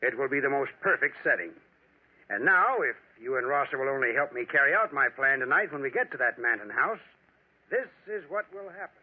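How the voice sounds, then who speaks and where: An actor playing a composed, scheming gentleman who gives polite, deliberate orders in 1940s radio horror style. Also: medium pitch, lively intonation, narrow, tinny audio, lightly acted. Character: formal, composed gentleman duel second, orchestrating a sinister scheme. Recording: thin, band-limited vintage radio recording.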